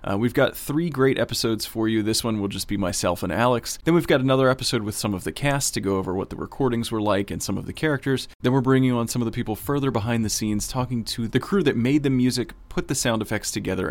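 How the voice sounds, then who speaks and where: A man's voice: lowish voice, dry recording. A man casually hosting a podcast. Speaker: podcast host and producer.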